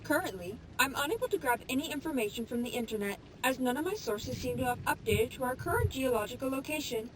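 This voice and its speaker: A woman playing a calm, polite female AI assistant, speaking in a measured, formal way in a fan-made audio drama. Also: high voice. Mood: calm, apologetic. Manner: measured, formal, matter-of-fact. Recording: noisy recording with rain and traffic behind.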